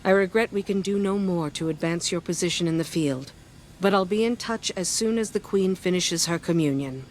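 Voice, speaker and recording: An actress playing a weary, guilt-ridden female advisor who briefs in a measured, earnest way. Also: lowish voice, a little mellow, a little hiss or hum, natural voice.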